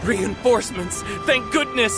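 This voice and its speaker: Anxious worn-out soldier in a game, high for a man, music behind him. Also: measured pace. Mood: relieved. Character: anxious, battle-worn soldier grateful for help. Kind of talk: video game voice acting.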